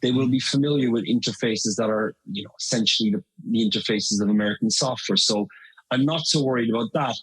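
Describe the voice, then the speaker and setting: A man's voice: medium pitch, fast talker, husky, rough recording. A middle-aged Irish man talking as a guest in an interview, chatty and full of fillers and restarts. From Ireland. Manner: conversational, many fillers and restarts. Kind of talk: interview conversation.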